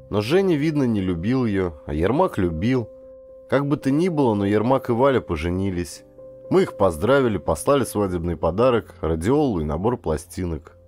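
Male audiobook narrator, lowish register, highly varied intonation, deliberate dramatic storytelling, faint music bed. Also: a little mellow. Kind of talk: expressive first-person literary audiobook narration.

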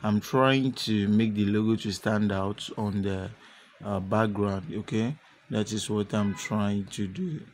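A man narrating a step-by-step software tutorial unscripted, with halting, repetitive instructional phrasing. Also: fairly low pitch, slight background noise. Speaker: tutorial presenter.

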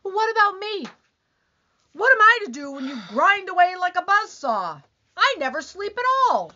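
A woman's voice: very high-pitched, very animated, moderate pace, bright, forceful tone, heavily acted. A woman playing a put-upon, nagging wife in a radio-style comedy, whining and complaining. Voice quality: whiny, complaining. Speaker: community theater actor. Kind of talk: old-time radio comedy reading. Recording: dull lo-fi recording.

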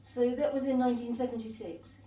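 A woman's voice: on the high side, steady tone, fairly quick. An older woman, an artist, telling her story in a rambling, reminiscing way at a tea table in a glass conservatory. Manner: rambling, warm reminiscing with restarts. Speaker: artist and guest. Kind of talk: conversational storytelling. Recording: echoey room, distant mic, faint hiss.

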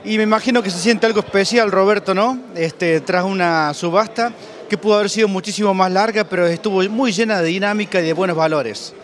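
Argentine male TV presenter, higher-pitched voice. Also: a little fast. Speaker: TV host, agricultural journalist. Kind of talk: TV presenting and interviewing. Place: large indoor event hall. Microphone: handheld interview microphone.

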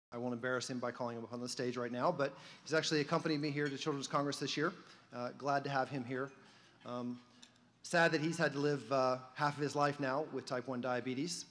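A man's voice: medium pitch, fairly bright tone. A man giving an earnest speech from a podium in a large conference hall, with frequent ums and uhs. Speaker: organization president speaking at an event. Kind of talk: prepared speech. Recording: hall reverb with faint room noise.

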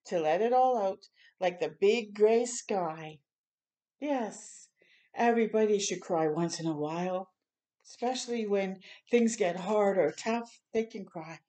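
An older woman reads a children's picture book aloud in a small room at home. Her voice sits at a medium pitch for a woman. She breaks away from the text to add encouraging, chatty asides for young listeners. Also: a little slow, mellow. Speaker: children's storytime reader.

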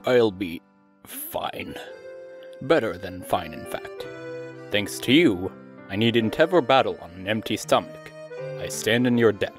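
A man's voice: fairly low pitch, animated, measured pace, music in the background. A man voicing an earnest, devoted young knight in a fantasy game fan dub, speaking in a formal, courtly way.

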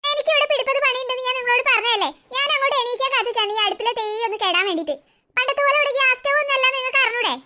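A harried mother scolds her children and calls out impatiently while she works at the stove, as a woman acts her out. Her voice is high and quick, with a sharp, bright edge. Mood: exasperated. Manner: impatient, scolding, calling out from the kitchen.

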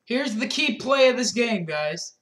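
A man's voice: extremely high pitch, expressive, unhurried, a bit bright. A young man recapping a college football game from his bedroom, reading scores and stats methodically with restarts, recorded on a webcam. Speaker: sports fan reviewer. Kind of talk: postgame recap. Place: small bedroom indoors. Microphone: webcam at desk.

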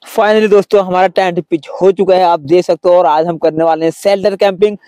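A man's voice: high-pitched, steady tone, brisk, mellow, dry recording. A man, talking casually to camera as a camping vlogger outdoors. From India. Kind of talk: casual vlog talk to camera. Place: outdoors in a forest by a stream.

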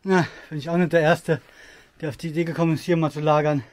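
A man's voice: fairly high pitch, little pitch movement, fast. A man in his thirties talking casually while hiking through a rocky forest, reviewing outdoor gear with lots of fillers and enthusiasm. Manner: chatty and enthusiastic, with frequent fillers.

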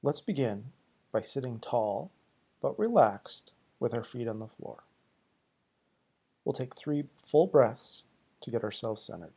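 Guy doing guided meditation, slow soothing delivery, breathy husky voice with lots of fry. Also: medium pitch, animated, dark tone. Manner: slow, deliberate, soothing, short pausing phrases.

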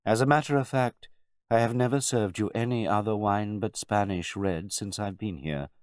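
A grave butler played by a male storyteller speaks with formal, measured courtesy. Beneath the deference sits quiet disdain and polite condescension, in a dry, close storybook reading. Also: on the low side, no echo, voice pushed up, natural voice. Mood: disdainful.

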